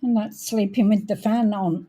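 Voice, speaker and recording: A woman with a croaky voice hosting a casual live craft demonstration, thinking aloud as she works and sometimes clearing her throat. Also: mid-range voice, fairly even intonation, dark tone. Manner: unhurried, thinking aloud while working. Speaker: craft tutorial host.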